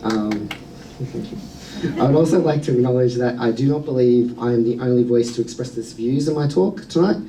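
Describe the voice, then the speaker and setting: Australian man, public speaker, dark mellow tone, earnest and measured, distant mic. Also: medium pitch. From New South Wales, Australia. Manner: earnest, measured, with frequent "um" fillers. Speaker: speaker at a museum sector event. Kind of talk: prepared public talk. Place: event venue.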